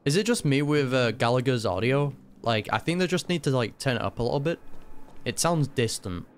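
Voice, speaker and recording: A man's voice: mid-range voice, lively intonation, fairly quick, slight background noise. A man giving casual reaction commentary on a game, musing aloud with some hesitation.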